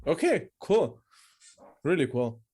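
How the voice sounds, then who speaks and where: A man's voice: on the high side, sing-song, slow, darkish tone, breathy edge. A man from Belarus hosting a podcast interview, who asks short questions and adds brief, encouraging remarks. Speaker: podcast host.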